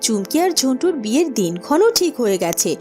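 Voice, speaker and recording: A woman's voice: fairly high pitch, sing-song, brisk, mellow, soft background music. A woman narrating a scripted fairy tale as a cartoon storyteller.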